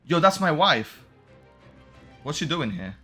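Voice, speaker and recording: A man's voice: fairly high pitch, wildly swooping pitch, takes it slowly, pushed and bright, slightly rough. A man doing casual, slangy game-streamer commentary, blunt and given to swearing as he reacts to story scenes. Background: game music.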